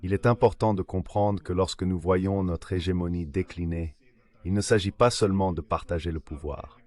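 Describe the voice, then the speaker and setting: Low deep male voice, measured voiceover of a translated political talk, sounds scripted. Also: fairly even intonation, darkish tone. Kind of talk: translated voice-over of a political discussion.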